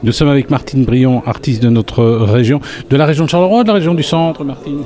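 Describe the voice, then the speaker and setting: A man's voice: medium pitch, brisk, warm tone. A man hosting a local radio interview, repeating his introduction and stumbling over restarts in a chatty way. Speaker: radio host.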